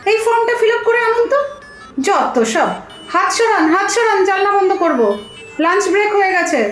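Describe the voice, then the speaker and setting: A woman's voice: very high-pitched, a bit bright. A woman acting in an audio comedy as a brusque, easily exasperated bank clerk, speaking. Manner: brisk, scolding, exasperated. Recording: roomy echo, faint music.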